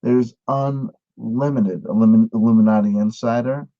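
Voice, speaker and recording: A man giving a satirical mock lesson, with a mock-earnest pitchman delivery. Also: steady tone, normal speed, muffled recording. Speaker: mock instructor. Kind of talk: satirical monologue.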